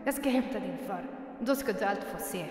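A woman's voice: mid-range voice, expressive, a little mellow, roomy sound, recorded from a distance, close to an everyday voice. A woman voicing a fussy, scolding guardian animal in a cartoon fan dub.

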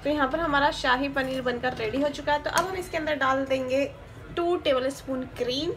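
High-voiced woman guiding a home recipe. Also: normal speed, faint music behind. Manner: steady instructional, repeats each step. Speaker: cooking channel host. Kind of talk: step-by-step recipe voice-over. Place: home kitchen. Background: sizzling and stirring in a pan.

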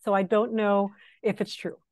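A woman's voice: medium pitch, flat delivery, moderate pace, darkish tone, no room sound. A woman with a Miami Cuban accent talks through an earbud mic on a video call from home. She is chatty, laughs easily and restarts her phrases as she thinks. The sound is close and dry. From Miami, Cuban-American. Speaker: guest historian. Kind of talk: academic seminar talk. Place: home room. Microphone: wired earbud mic on video call.

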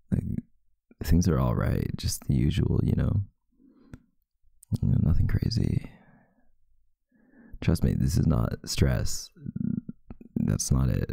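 A young man doing an intimate ASMR boyfriend roleplay as a sleepy, affectionate, teasing partner in bed, murmuring softly and drowsily close to the microphone. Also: very deep voice, dry recording. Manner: soft, drowsy murmuring, partly breathy and whispered. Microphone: close microphone, dry sound.

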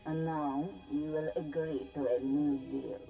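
A woman voicing a cool, commanding female alien envoy in a video game, formal and measured. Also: sits low, steady tone, creaky, lo-fi sound, soft background music. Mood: coolly threatening. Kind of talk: video game cutscene acting.

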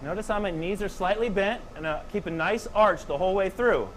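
A man in his thirties coaching beginners through snowboard moves in short, calm cues. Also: high-pitched, a little fast, slight background noise. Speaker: snowboard instructor. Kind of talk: step-by-step instructional demonstration. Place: indoor training slope under a roof.

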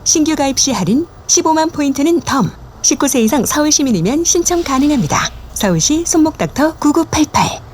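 A woman's voice: high voice, lively intonation, brisk, a little hiss or hum. A woman reading scripted promotional and public bus announcements, polished, polite and carefully enunciated. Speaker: bus advertisement and safety announcer.

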